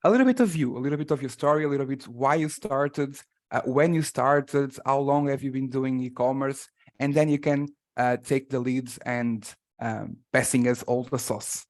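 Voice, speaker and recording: A man from Portugal with a Portuguese accent hosts a live online class. He is a native Portuguese speaker with a mid-pitched voice, speaking as someone presenting a guest to a group. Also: normal speed, hardly any pauses. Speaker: host and translator of an online class. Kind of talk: introducing a guest on a live call.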